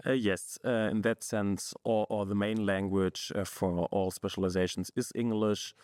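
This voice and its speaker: A young man, a master's student guest answering in a relaxed, hesitant way with many fillers. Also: on the low side, moderate pace, no room sound, studio quality. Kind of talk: podcast interview answers.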